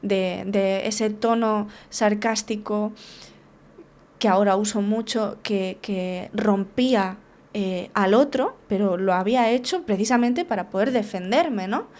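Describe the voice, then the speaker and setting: A woman's voice: medium pitch, a little slow, a little mellow. A woman tells her story in a frank, unguarded way. She sits as a therapist and interview guest. She restarts her phrases often and keeps checking in with little tag questions.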